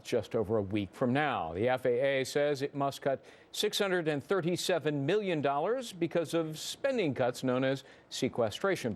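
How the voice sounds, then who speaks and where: A man with an American accent presenting broadcast news and moderating a panel discussion as anchor. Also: mid-range voice. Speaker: news anchor and legal segment host.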